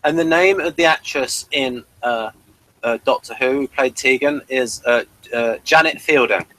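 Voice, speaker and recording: A man's voice: mid-range voice, normal speed, fairly bright tone, poor audio. A man talking casually as a co-reviewer in a conversation about a television episode.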